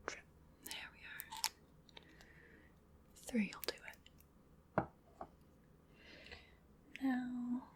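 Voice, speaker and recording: A woman playing a playful, fussy vampire in a whispered ASMR roleplay, musing softly and coaxing with a mildly bossy touch. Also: on the high side. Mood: gently bossy. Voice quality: soft breathy whisper. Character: playful, fussy female vampire seasoning her human snack.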